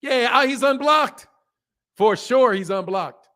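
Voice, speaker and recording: A man's voice: very high-pitched, slow. A man in his fifties hosting a live boxing talk stream, chatting loosely with viewers, repeating points for emphasis and laughing often. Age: 50s. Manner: animated, talks back to chat, repeats himself for emphasis, laughs often. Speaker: boxing channel host. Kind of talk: casual live-stream chat reading comments.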